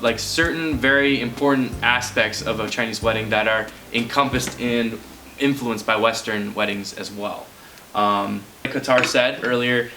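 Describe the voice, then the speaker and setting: Casting: American male guest, bright, forward tone, easygoing explainer, light music behind. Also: medium pitch. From United States. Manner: relaxed, explanatory, with fillers. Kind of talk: casual chat.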